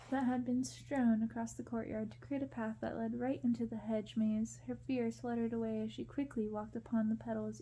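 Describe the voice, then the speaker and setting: A woman reading a story aloud as ASMR, with gentle, hushed narration. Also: a little high, slight background noise, poor audio. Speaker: ASMR reader.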